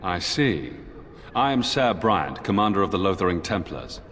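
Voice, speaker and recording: An actor playing a stern, dutiful knight commander in a fantasy game, formal and measured. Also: male voice, sits low, big pitch swings, a bit bright. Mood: grave, cautious. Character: stern, dutiful templar knight commander, wary but kindly. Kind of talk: fantasy video game voice acting. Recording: echoing hall, music behind.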